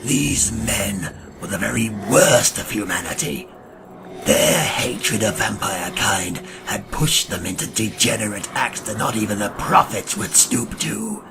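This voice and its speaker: A man playing a grim, ancient vampire hunter who narrates a gothic audio drama in a solemn, ornate and gravelly manner. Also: lowish voice, animated. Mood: contemptuous, grave. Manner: solemn, ornate, deliberate delivery. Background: dramatic music.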